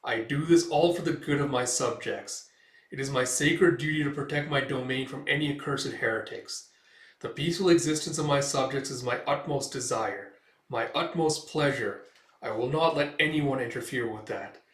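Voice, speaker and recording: A man's voice: mid-range voice, normal speed, fairly bright tone, natural voice. A man voicing a cruel, self-righteous baron who speaks solemnly, recorded in a roomy space from a distant mic. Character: cruel pious male baron, proud tyrant.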